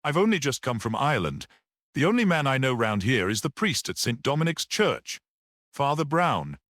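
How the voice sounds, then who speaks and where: A man's voice: medium pitch, big pitch swings, normal speed, a bit bright, no room sound, clean studio sound, pitched above the performer's own voice. A man voicing a defiant, edgy little man under suspicion, answering in snappy retorts in a story. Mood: retorting.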